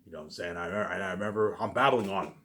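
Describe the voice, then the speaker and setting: A man with a somewhat low voice talks very fast to his online viewers. He rambles and boasts, swearing freely and repeating his phrases, and his delivery jumps into louder bursts before settling back down. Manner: repetitive, restarting phrases over and over; profane, boastful ranting with sudden loud bursts.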